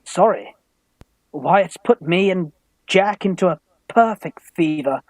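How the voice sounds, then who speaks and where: An actor playing an earnest, anxious young gentleman in love, polite, in a Victorian radio farce. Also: high-pitched, expressive, a little slow, no echo. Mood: earnest courting. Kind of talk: radio play farce acting.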